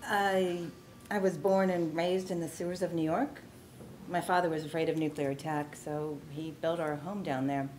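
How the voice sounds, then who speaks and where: A woman's voice: low-pitched, steady tone, moderate pace, darkish tone, slight background noise, lightly acted, low-quality recording. A woman playing a tough, streetwise astronaut, blunt and matter-of-fact, at a comedy table read.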